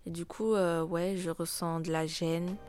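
A teenage girl from France with a French accent, answering interview questions casually and pausing often. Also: fairly low pitch, level tone, over music. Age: teens. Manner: hesitant, many pauses with "euh".

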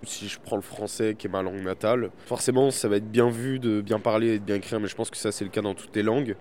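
A young man with a lowish voice, the kind heard answering a campus survey in an easygoing, considered way. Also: steady tone, quick pace, a little mellow, a little hiss or hum. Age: young adult. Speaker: interviewee, political science student. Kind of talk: casual, thoughtful answers.

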